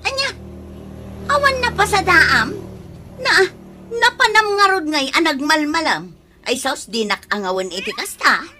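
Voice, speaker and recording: Fretful, high-voiced wife, played by an actress. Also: female voice, very animated, measured pace, long pauses, pushed and bright, soft background music, full character voice. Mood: upset, worried. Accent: Ilocano. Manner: fretful, retorting. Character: nagging, worried wife and mother, short of money. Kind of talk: Ilocano radio comedy-drama acting.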